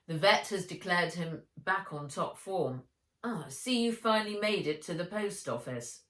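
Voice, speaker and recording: Woman as a grave, low-voiced commanding man. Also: expressive, a little slow, fairly bright tone. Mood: matter-of-fact. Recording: roomy echo, distant from mic.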